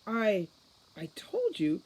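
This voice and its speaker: A man's voice: high voice, wildly swooping pitch, slow, darkish tone, breathy edge, pitched above the performer's own voice, full character voice. A man voicing a furious captive female fairy captain in an audiobook, defiant and indignant, spitting out words with contempt. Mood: rattled denial. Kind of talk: audiobook character reading.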